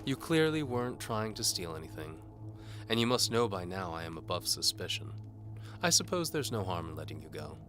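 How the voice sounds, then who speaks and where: A man's voice: sits low, sing-song, brisk, a bit bright, soft background music, pitched above the performer's own voice. A man playing a zealous, pompous older medieval bishop who speaks in a didactic, commanding way in an audio drama. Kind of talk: audio drama character acting.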